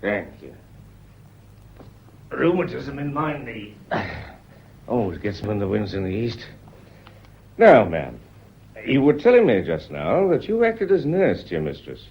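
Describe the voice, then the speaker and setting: An actor playing a middle-aged British police inspector in a radio play, folksy and deferential yet quietly probing. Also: male voice, lowish voice, big pitch swings, a little slow, pauses often, slight background noise. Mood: polite, probing. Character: plodding, polite, persistent police inspector with folksy patter. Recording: muffled old radio drama recording.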